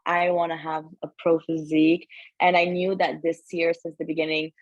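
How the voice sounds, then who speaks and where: A woman's voice: low voice, little pitch movement, normal speed, dry recording, muffled recording. A woman from Canada, a guest on an interview, talking frankly and directly with lots of "like". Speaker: guest athlete. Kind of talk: casual interview chat.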